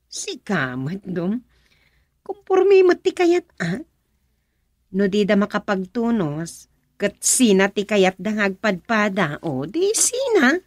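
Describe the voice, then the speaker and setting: A woman's voice: medium pitch, huge pitch swings, slow, dry recording. A woman playing an opinionated, chatty village woman who lectures insistently, in a rural radio comedy-drama. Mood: insistent, moralizing. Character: opinionated village woman gossiping and moralizing about marriage.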